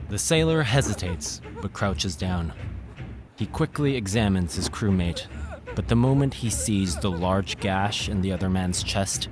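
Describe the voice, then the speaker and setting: A man's voice: sits low, lively intonation, a little slow. An actor playing a young man telling a scary sea tale in a theatrical, suspenseful manner. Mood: ominous, suspenseful. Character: male student spinning a nautical horror tale. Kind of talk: dramatic scary-story narration. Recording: dramatic music under the narration.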